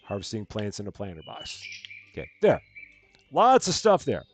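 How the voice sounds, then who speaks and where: A man with a calm, soothing voice, casually talking through a game on a live stream and thinking aloud as he plays. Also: fairly low pitch, moderate pace, music in the background. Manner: soft-spoken, rambling, thinking aloud.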